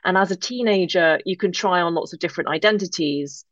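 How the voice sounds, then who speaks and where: An English woman speaking as an interview guest, reflective, articulate and measured in how she puts her thoughts together. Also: lowish voice, fairly bright tone, dry recording, dull audio. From England-born, grew up in Northern Ireland. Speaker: podcast guest, author.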